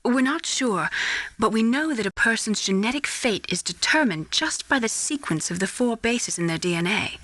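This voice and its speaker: A woman's voice: on the high side, animated, normal speed, fairly bright tone, no room sound. An actress playing an earnest female scientist in a video game, questioning and probing in a dramatic style. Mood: reflective.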